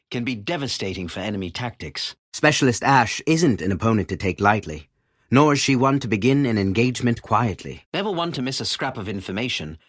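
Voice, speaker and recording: A man's voice: medium pitch, fairly bright tone, no room sound. A man playing a composed special-operations director in a video game, delivering formal, articulate assessments with dry appreciation. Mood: dryly appreciative. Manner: formal, articulate, erudite phrasing. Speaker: military instructor character in a tactical shooter game. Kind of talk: scripted voice lines, measured evaluative commentary.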